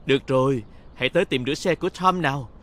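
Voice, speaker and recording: A man's voice: medium pitch, quick pace, a bit bright. A man narrating a children's cartoon in speech, talking to the characters like a storyteller for young children. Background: music.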